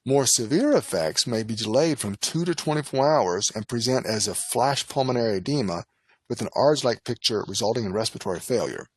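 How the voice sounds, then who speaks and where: A man narrating a scripted medical lecture as an instructor, in a formal, measured, instructional manner. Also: medium pitch, animated, breathy edge, no room sound. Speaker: lecturer, nurse and poison specialist.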